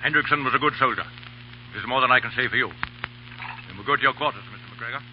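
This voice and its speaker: An actor playing a stern, rigid older colonel in a 1930s radio drama, curt and imperious, with a husky voice. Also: male voice, medium pitch, very fast, noisy background, lightly acted. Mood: stern, indignant. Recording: muffled 1930s radio broadcast.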